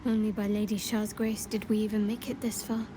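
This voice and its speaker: A woman's voice: mid-range voice, little pitch movement, a little fast, background noise, lightly acted. An actress playing a guarded, sardonic young female cleric in a video game cutscene. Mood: earnest.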